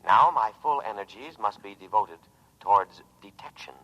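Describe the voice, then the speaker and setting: A low-voiced, pompous robot lifeboat. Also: male voice, animated. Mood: sternly unyielding. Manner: solemn, measured, grandiose oratory. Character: calm, pompous, paranoid robot lifeboat, male-voiced war machine. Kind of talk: old-time radio drama acting. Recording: muffled vintage radio broadcast recording.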